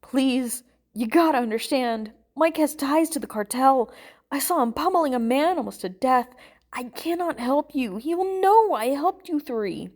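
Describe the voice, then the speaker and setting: A woman's voice: medium pitch, animated, normal speed, a little mellow, natural voice. Woman as nervous, stubborn male captive. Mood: pleading. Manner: pleading, stammering, stubborn.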